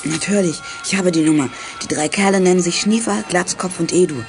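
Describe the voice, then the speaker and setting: Keen young detective, male, youth audio drama. Highish voice, eager explaining, music underneath. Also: a little fast. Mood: reporting eagerly. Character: keen, clever boy detective, deducing eagerly. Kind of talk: 1980s children's detective audio play acting.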